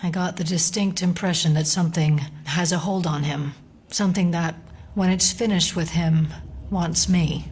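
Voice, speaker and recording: An actress playing a composed, determined federal agent who sounds serious and uneasy, in an audio drama. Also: female voice, fairly low pitch, steady tone, moderate pace, a little mellow, slight background noise, close to an everyday voice.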